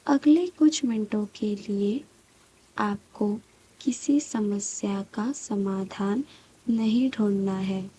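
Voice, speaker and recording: A woman guiding a scripted meditation in a soft, breathy voice, calm and soothing, with long pauses between short phrases. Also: mid-range voice, slight background noise. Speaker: meditation guide. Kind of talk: slow guided meditation, scripted.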